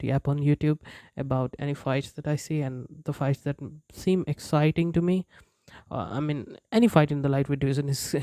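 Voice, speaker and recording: A man's voice: fairly high pitch, mellow, no echo, clean studio sound. A young man who speaks English as a non-native language, rambling in a casual vlog with lots of fillers and restarts and frequent chuckles. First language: not English. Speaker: YouTuber. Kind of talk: casual unscripted vlog chat.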